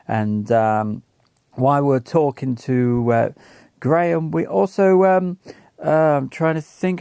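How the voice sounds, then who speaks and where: English male radio hobbyist host, mid-pitched with vocal fry, informal, often pausing to find his words. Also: a little mellow, no echo, studio quality. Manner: halting, searching for words while reading notes. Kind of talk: casual rundown of radio call-ins.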